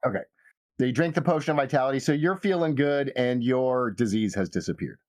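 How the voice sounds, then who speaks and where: A man narrating as game master of a tabletop game, improvising in a halting, measured way. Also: medium pitch, a little mellow, no echo, clean studio sound.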